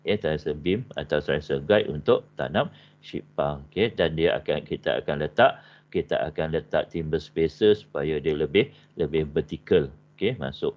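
A man's voice: very deep voice, moderate pace, darkish tone. An older man with a Malaysian Malay accent giving an online lecture, peppering his talk with "okey" and English technical terms. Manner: frequent "okey" fillers, mixes in English terms. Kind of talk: online lecture on basement construction. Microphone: webcam on a video call. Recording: dull video-call audio.

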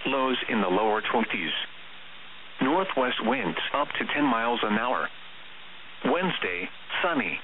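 A man's voice: mid-range voice, lively intonation, a little slow, pauses often. Hissy narrowband radio man reading weather. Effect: weather radio broadcast. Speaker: automated weather radio announcer. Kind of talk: scripted weather forecast bulletin.

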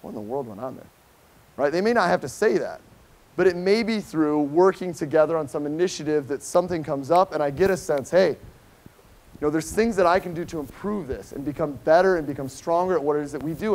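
A middle-aged American man, a professor giving an informal keynote lecture, conversational and emphatic, often checking in with a quick "okay?". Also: fairly high pitch, a little mellow. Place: lecture hall, speaking to a seated audience.

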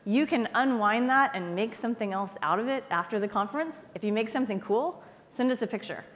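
A woman's voice: a little high, lively intonation, fairly quick, slight background noise. A woman giving an informal, upbeat talk from a conference stage. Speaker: conference presenter. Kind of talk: keynote speech. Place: large conference hall stage. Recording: long hall reverb.

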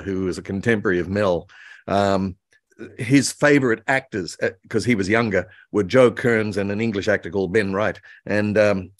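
Older man with a low-pitched voice. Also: no echo, clean studio sound. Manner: hesitant, meandering storytelling with repeated words. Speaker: guest author and voice actor. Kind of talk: reminiscing conversation about old radio. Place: indoor room, video call. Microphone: video call microphone.

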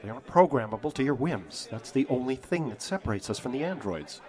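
A man's voice: medium pitch, big pitch swings, brisk, crowd noise, close to an everyday voice. An actor playing a level-headed, principled man with a firm manner in an audio drama. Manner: firm, reasoning.

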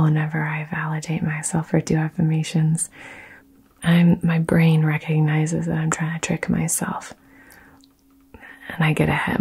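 A woman whispering soothing affirmations in an ASMR session, soft and gentle. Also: deep voice, creaky, husky. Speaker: ASMR host.

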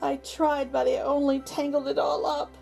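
A woman's voice: high-pitched, flat delivery, normal speed, creaky, a little mellow, faint music behind, voice pushed up. A woman voicing a sad, timid little village girl in a children's storybook, hesitant and earnest. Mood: dejected. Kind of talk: children's storybook read-aloud.

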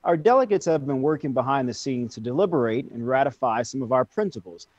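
A man's voice: medium pitch, expressive, a little fast, a little mellow, no echo. An American man in his thirties hosting a broadcast interview, with slightly dull sound. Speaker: news-style host.

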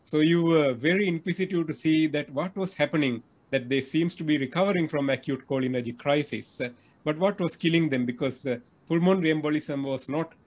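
A man's voice: on the high side, a little fast. Sri man interviewing over video call. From Sri Lanka. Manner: earnest, many fillers and restarts. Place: indoor room.

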